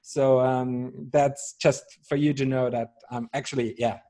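A man's voice: medium pitch, fairly even intonation, a little slow, warm tone. A man in his thirties from Austria with an Austrian German accent, speaking English as a guest on a video call, hesitant with many fillers and restarts and a dry sense of humor. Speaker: brewery ambassador, guest. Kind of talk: casual interview over video call.

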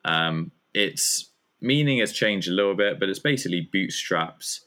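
Guest, male, thirties, very low voice, loose and hesitant delivery. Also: lively intonation, moderate pace, sharp tone. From Germany. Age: 30s. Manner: rambling, hesitant with many fillers. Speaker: guest, community founder. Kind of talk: casual interview chat.

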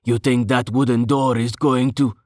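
A man's voice: mid-range voice, flat delivery, moderate pace, a little mellow, no echo, clean studio sound, lightly acted. A man voicing a sardonic, grinning male adventurer who teases sarcastically in a fantasy audiobook. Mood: mocking. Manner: sarcastic, teasing.

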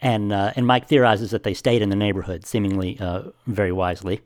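A man co-hosting a podcast, casually retelling a story step by step in a halting way. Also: on the low side, level tone, a little mellow, no echo, clean studio sound. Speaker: podcast co-host. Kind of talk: casual plot recap.

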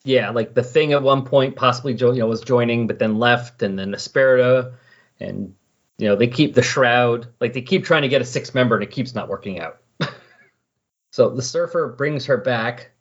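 A man with a husky voice chatting casually on a podcast, explaining and recapping in a rambling way. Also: medium pitch, moderate pace. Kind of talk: casual comic book discussion. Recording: dull lo-fi voice recording.

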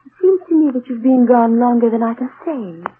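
Woman acting a haunted countess, high swooping voice, intense old radio drama. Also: expressive, a little fast, natural voice. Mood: wistful, puzzled. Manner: theatrical, intense, swooping delivery. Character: troubled, searching aristocratic countess, haunted by her past. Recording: tinny, hissy old radio recording.

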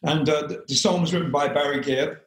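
An older English man with a loud speaking voice, telling rambling stories as an interview guest, with many fillers and frequent laughs. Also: fairly high pitch, fairly even intonation, a little fast, roomy sound. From England. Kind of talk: relaxed storytelling interview.

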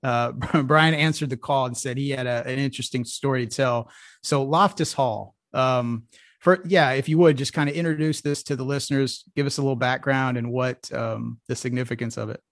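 A man's voice: medium pitch, fairly even intonation, normal speed, dry recording, studio quality. A man hosting a podcast who talks casually and rambles, with many fillers and restarts. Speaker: podcast host. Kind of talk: casual interview.

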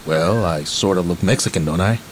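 An actor playing a streetwise, middle-aged ex-convict uncle in a radio play, rambling, candid and affectionate. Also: male voice, deep voice, brisk, a little hiss or hum, lightly acted. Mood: boastful, playful. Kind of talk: naturalistic radio play acting.

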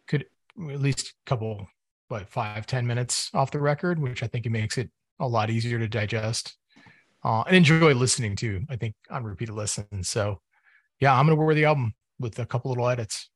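A man co-hosts a music podcast in a casual, conversational style. His voice sits at a middling pitch, recorded dry with almost no room around it. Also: brisk, no room sound. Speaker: podcast co-host.

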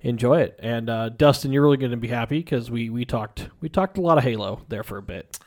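A man with a slightly raspy voice hosting a podcast in a casual, joking way. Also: medium pitch, brisk. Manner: joking, teasing. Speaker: podcast host.

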